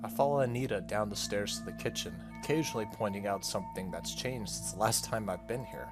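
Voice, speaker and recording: A man's voice: fairly low pitch, quick pace, over music. A man hosting a gaming video, reading a visual novel aloud and adding casual, slangy asides.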